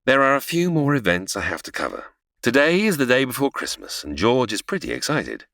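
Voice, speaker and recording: A man's voice: mid-range voice, very animated, brisk, creaky, a bit bright, no room sound, studio quality. A man playing a senior angel who narrates an audio drama, explaining patiently but easily exasperated. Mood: storytelling. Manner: explanatory, storytelling.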